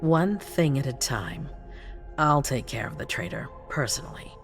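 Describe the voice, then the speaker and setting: A woman playing a cold, calculating female vampire prince in a video game, with a regal, controlled and sometimes hushed delivery. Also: a bass voice, sing-song, moderate pace, faint music behind, full character voice. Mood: imperious, menacing. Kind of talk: dramatic video game acting.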